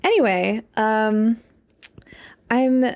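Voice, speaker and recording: A woman's voice: on the high side, extremely slow, background noise. A young adult woman co-hosting a podcast in casual chat, rambling and peppering her talk with "like". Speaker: podcast co-host, English PhD student.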